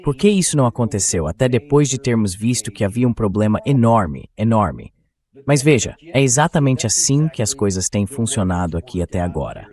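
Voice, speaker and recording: A man's voice: lowish voice, sing-song, a little fast, darkish tone. Blunt Brazilian man giving sarcastic commentary. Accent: Brazilian Portuguese. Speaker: talk show host and political commentator. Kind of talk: opinionated news commentary.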